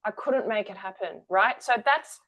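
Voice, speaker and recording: A woman's voice: mid-range voice, normal speed, fairly bright tone. A woman, a guest telling her story candidly in an interview. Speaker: guest, energy-work founder. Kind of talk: conversational interview.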